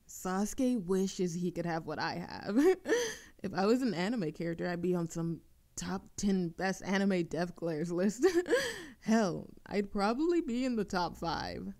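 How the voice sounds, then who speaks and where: Slow-talking, teasing young barista played by a woman. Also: mid-range voice, mellow, close to an everyday voice.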